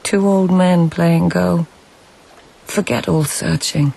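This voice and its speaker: Creaky-voiced, reflective court lady. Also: fairly low pitch, steady tone, mellow, heavily acted, rough recording. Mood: reflective, measured. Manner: measured, short literary phrases. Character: reflective, observant court lady narrating her search. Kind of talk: radio drama narration and dialogue.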